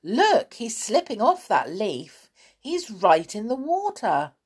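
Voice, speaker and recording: A woman's voice: lowish voice, sing-song, slow pace, darkish tone, exaggerated voice. A woman voicing a brave, fat old male teddy bear in a children's story, speaking bluntly and emphatically. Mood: alarmed.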